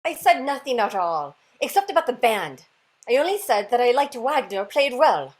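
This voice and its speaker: A proud marquis with a quick temper , acted by a woman, speaks formally and haughtily in a radio play. The voice is high and swings widely in pitch. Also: big pitch swings. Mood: haughty. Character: proud, hot-tempered French marquis, a duelist. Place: remote reading over a video call.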